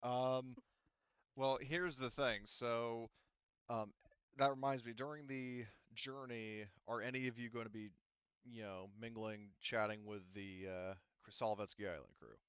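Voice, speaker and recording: Man, game master, smooth resonant voice, dry dull lo-fi call audio, hesitant rambling. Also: medium pitch, steady tone, a little slow, fairly bright tone, no room sound. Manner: rambling, hesitant, many fillers and restarts. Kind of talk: running a tabletop game over voice chat.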